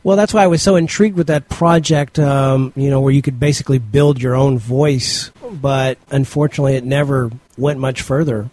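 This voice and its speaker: A man's voice: mid-range voice, fairly even intonation, moderate pace, hardly any pauses, a little mellow. A middle-aged man hosting a casual podcast chat, rambling loosely and reading out article titles. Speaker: podcast host.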